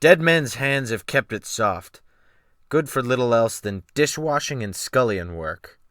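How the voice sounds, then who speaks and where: A man's voice: mid-range voice, animated, measured pace, a bit bright, no echo, studio quality. A man voicing a domineering, scornful sea captain with an imperative, mocking manner in a dramatic audiobook. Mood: mocking, commanding. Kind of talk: dramatic audiobook character acting.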